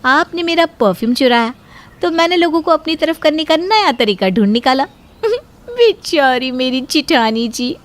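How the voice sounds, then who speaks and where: Female narrator as crafty young bahu, cartoon; sweet, self-satisfied, high-pitched. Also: animated, unhurried, a little hiss or hum. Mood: smug. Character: sweet, smug, crafty young daughter-in-law. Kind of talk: Hindi cartoon voice acting.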